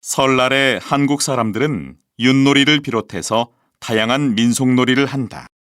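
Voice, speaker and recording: A man's voice: mid-range voice, moderate pace, fairly bright tone, dry recording, clean studio sound. A man voicing scripted dialogues and a model presentation for a language textbook, with careful, evenly enunciated reading. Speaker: textbook audio voice actor.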